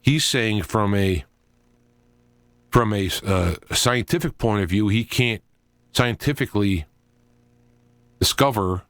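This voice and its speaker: Male talk radio host, middle-aged, lowish register, dry sound. Informal solo monologue, halting and digressive delivery. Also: a bit bright, dry recording. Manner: halting, digressive, thinking aloud.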